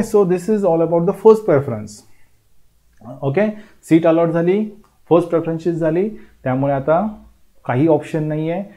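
A man's voice: fairly high pitch, dark tone. A man in his thirties explains admission steps at a whiteboard in a classroom lecture. He talks emphatically and reassuringly, keeps checking in with "okay," and switches between Marathi and English. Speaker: admissions counsellor teaching at a whiteboard. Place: indoor classroom in front of a whiteboard. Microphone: lapel mic.

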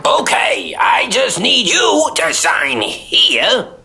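Folksy motel clerk, radio drama, over-friendly salesman, wildly animated, slightly drawn-out delivery, gravelly edge. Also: sits high, exaggerated voice. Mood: jovial, pushy. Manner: effusive, chuckling, folksy.

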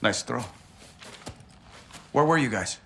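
Animated, loyal Mexican soldier. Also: male voice, mid-range voice, slow, creaky, a little hiss or hum, exaggerated voice. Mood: earnest. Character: loyal, determined Mexican male special forces soldier. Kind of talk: cinematic military game acting. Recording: loud music behind the voice. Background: loud music.

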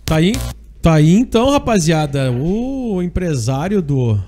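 A man's voice: fairly high pitch, very animated, a little slow, warm tone, faint music behind. A man with a southern Brazilian gaúcho accent hosting live sports radio in an informal, slangy way on a studio microphone. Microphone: studio microphone on a boom arm.

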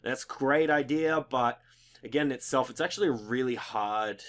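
A young man with a slightly croaky voice giving casual, rambling gaming commentary as a video host, informal and mildly sweary. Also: mid-range voice, moderate pace, a bit bright.

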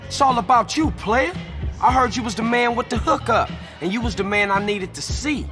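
An actor playing a confident, streetwise young gangster in a video game, casual and slangy. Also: male voice, extremely high pitch, normal speed, over music. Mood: smooth, persuasive. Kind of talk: video game cutscene acting.

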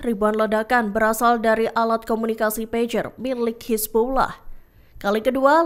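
Higher-pitched woman reading news. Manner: formal, measured news-reading delivery. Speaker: news narrator. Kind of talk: scripted news voice-over narration.

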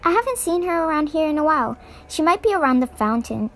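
A woman's voice: high-pitched, lively intonation, fast, a bit bright, faint music behind. A woman playing a helpful garden designer giving matter-of-fact witness reports in an amateur fan film, with faint background noise.

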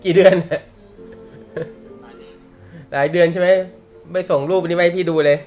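A man's voice: high voice, fairly even intonation, normal speed, a little mellow, faint music behind. A young man teaching a manga class in a casual, joking way, laughing often and using slang. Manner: chatty, laughs often, uses slang and swears. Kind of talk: casual live class talk.

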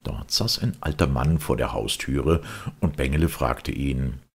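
Very deep, gravelly male storyteller. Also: measured pace. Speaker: audiobook narrator. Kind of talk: expressive scripted storytelling for children.

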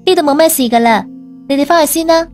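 A woman playing a cool, probing young female executive in an audio story, quietly jealous. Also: sits high, fast talker, soft background music, close to an everyday voice. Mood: firm. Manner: pointed questioning, feigned surprise.

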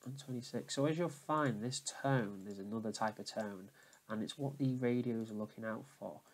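A man with an average-pitched voice presents a casual how-to. He talks informally through each step as he goes, often repeating the instructions. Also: poor audio. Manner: informal, repetitive step-by-step explaining. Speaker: tutorial presenter. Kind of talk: casual screen-recorded how-to walkthrough.

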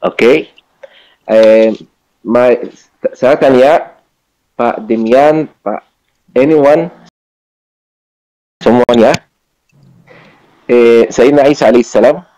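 Slow-spoken man, non-native Indonesian speaker. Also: mid-range voice, dark tone, muffled recording. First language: not Indonesian, still learning it. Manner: halting, mixes broken Indonesian with English. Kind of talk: informal religious debate on video call. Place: online video call.

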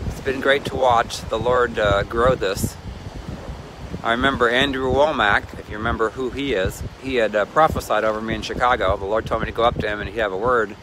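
A middle-aged man rambling cheerfully into his phone at arm's length, drifting from one thought to the next. He sounds cold, standing outdoors by the water. Waves and gusts of wind are clearly heard behind his mid-pitched voice. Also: steady tone, a little fast. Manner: chatty, wandering, self-mocking. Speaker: vlogger and ministry leader. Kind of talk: casual rambling selfie vlog. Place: outdoors on a windy lakeshore with waves. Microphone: phone held at arm's length. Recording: wind and surf noise on phone audio.

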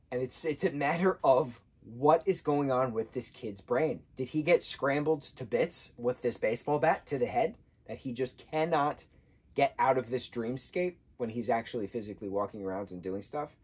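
A man in his thirties, husky-voiced, giving an animated casual book review from a sofa indoors. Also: mid-range voice. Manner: animated, gestures a lot, acts out quotes in changing voices. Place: indoor room, sofa with patterned throw.

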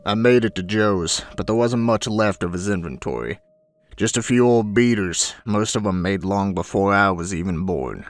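A man's voice: on the low side, moderate pace, natural voice. An actor playing a scruffy, foul-mouthed space adventurer, rambling and sardonic as he narrates a sci-fi audio drama. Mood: wistful, rambling.

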